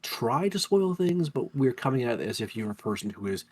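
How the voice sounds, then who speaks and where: Husky nasal male co-host. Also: medium pitch, big pitch swings, brisk, a little mellow. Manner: teasing, chatty. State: recovering from lost voice. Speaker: podcast co-host. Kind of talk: casual game chat.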